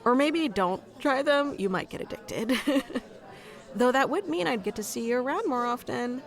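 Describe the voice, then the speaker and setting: A woman's voice: high-pitched, expressive, unhurried. A woman playing a shy, nerdy young writer in a conversational ASMR roleplay, rambling in a hesitant, giggly, self-conscious way. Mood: shyly enthusiastic.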